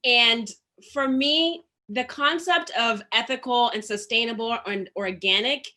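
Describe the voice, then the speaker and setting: A woman's voice: fairly high pitch, a little slow, pushed and bright. A woman from Zimbabwe speaking as a panel guest on a video call, earnest and storytelling, with many fillers. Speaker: panel guest, store founder. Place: home room with shelves, indoors. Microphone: laptop webcam on a video call.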